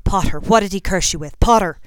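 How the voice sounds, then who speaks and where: A woman's voice: low-pitched, measured pace, darkish tone, smooth, no echo, studio quality. Woman playing an urgent, earnest teenage boy wizard. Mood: frantic.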